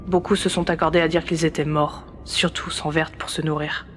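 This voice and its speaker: An actor narrating a post-apocalyptic ocean story in a grave, measured way. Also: medium pitch, little pitch movement, a little hiss or hum, natural voice. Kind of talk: scripted narration.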